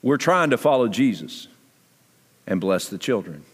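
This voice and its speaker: The long reverb of a big sanctuary surrounds a man preaching. His pitch is average for a man, and he speaks to the congregation as a pastor would, setting out phrases deliberately with emphasis and pauses. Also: very animated, slightly rough. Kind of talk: sermon appeal and guest interview before a congregation. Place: church sanctuary, amplified to a congregation. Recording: echoey large hall reverb.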